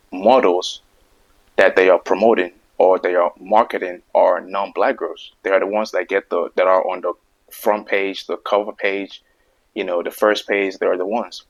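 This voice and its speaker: A man's voice: low voice, poor audio. A man hosting his own video who stutters and repeats words, making emphatic points over and over in a casual monologue. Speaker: video host. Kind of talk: casual opinion monologue to camera.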